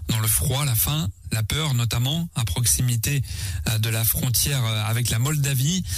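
Man, radio news presenter, mid pitch, very dry sound, faint music behind. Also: husky, no room sound. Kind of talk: regional radio news reading.

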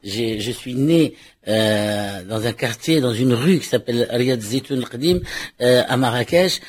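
A man's voice: mid-range voice, a little slow, breathy edge. A man from Morocco, a novelist speaking in a radio interview, who stumbles, repeats words and laughs often. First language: Arabic and French. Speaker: novelist guest.

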